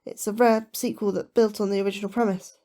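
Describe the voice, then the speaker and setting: An actress playing an anxious, questioning astrobiologist with a nervous, hesitant delivery in a sci-fi horror audio drama. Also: female voice, mid-range voice, little pitch movement, fast, mellow, a little hiss or hum, natural voice. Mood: hesitant recounting.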